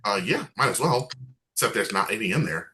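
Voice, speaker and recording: Man, game show host, bright voice, chatty, upbeat, video call. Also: lowish voice, expressive, a little fast. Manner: chatty, upbeat, self-deprecating. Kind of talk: casual online game night hosting.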